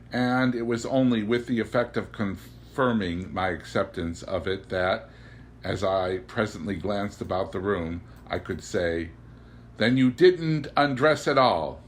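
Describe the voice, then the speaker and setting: A man reading a classic story aloud as an audiobook, with a theatrical, deliberate and emphatic delivery. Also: on the low side, slight background noise. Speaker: audiobook reader. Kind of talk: dramatic literary narration.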